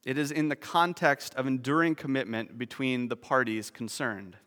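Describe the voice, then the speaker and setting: A man's voice: mid-range voice, fairly even intonation, normal speed, a bit bright, big-room sound. A man preaching a sermon, explaining a word's meaning with nerdy, self-deprecating asides. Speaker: pastor.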